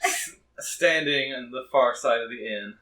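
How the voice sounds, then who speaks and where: A man's voice: mid-range voice, unhurried, pushed and bright. A man acting as game master, narrating a tabletop game and joking with the players. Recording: roomy, slightly distant mic.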